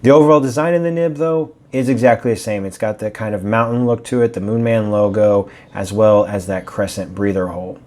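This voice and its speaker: Guy reviewing a pen, kinda raspy from allergies, rambly and chatty. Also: fairly low pitch, steady tone, a little fast, few pauses, darkish tone. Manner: chatty, rambling, with fillers and restarts. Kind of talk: casual product review.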